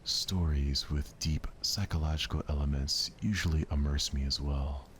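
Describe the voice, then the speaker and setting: A man voicing a gentle, reserved, bookish girl who speaks softly and earnestly about what she loves. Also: rumbling low, steady tone, normal speed, a little hiss or hum. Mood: earnest, absorbed.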